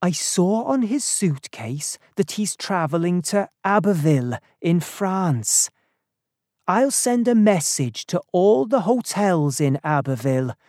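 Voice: medium pitch, sing-song, takes it slowly, a little mellow, no room sound, clean studio sound, pitched above the performer's own voice. A narrator voicing a worried, earnest man in a storybook, speaking anxiously and carefully. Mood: earnest, resolved. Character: worried, earnest Englishman afraid of a curse.

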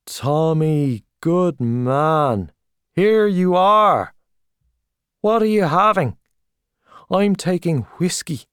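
A man voicing a boastful, hearty Irish journalist, talking loudly and expansively over drinks. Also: fairly high pitch, clear, resonant tone, dry recording, clean studio sound, voice pushed up. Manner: loud, expansive, back-slapping.

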